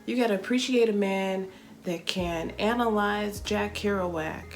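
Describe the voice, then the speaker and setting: A woman's voice: medium pitch, unhurried, faint music behind. A woman from Chicago telling a travel story in a playful, slangy way, with dramatic comic asides. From Chicago, USA. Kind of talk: casual storytelling vlog.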